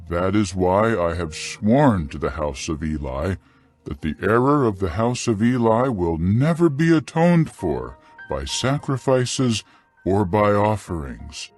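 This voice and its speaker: A man narrating dramatized scripture in a solemn, measured, clearly phrased manner. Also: on the low side.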